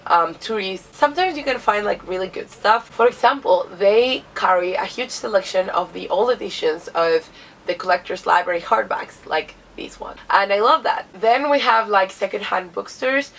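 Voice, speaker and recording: A woman's voice: medium pitch, normal speed, fairly bright tone, distant mic, a little hiss or hum, low-quality recording. A young woman from Chile, a native Spanish speaker, with a cold, chatting casually to camera about books with lots of filler words. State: has a cold. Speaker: book vlogger. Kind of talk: casual vlog monologue.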